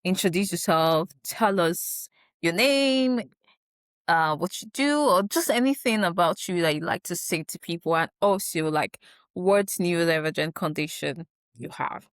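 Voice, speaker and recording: Woman host, casual and chatty interviewer, lower pitch, dry recording. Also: animated, unhurried, no room sound. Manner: chatty, laughing, many likes. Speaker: podcast host.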